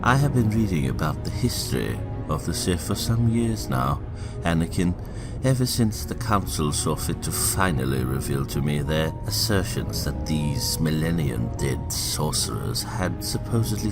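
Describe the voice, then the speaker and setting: A man in a fan audio drama playing an elderly, cunning politician, speaking in a measured, theatrical, insinuating way with a gravelly voice. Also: very deep voice, lively intonation, exaggerated voice. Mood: persuasive, insinuating. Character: elderly, cunning politician, smooth, avuncular and manipulative. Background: music.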